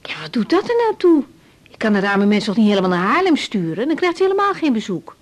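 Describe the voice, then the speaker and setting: A woman playing a young, harried doctor in a radio drama, expressive and full of questions, emotional and insistent. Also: fairly high pitch, quick pace. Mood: businesslike, insistent. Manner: expressive, questioning, stammers when upset. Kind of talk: 1980s radio drama acting.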